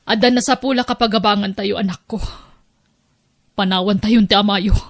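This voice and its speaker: A woman's voice: medium pitch, steady tone, normal speed, natural voice. A woman with an Ilocano accent acting a hurt, angry, resolute wife and mother in a radio drama.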